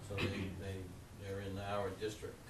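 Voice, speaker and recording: Scout leader, fumbling, lowish, distant mic with noise. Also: male voice, monotone, normal speed. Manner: hesitant, filler-heavy. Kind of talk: speaking at a town meeting. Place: town hall meeting room. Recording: roomy hall audio from afar, background noise.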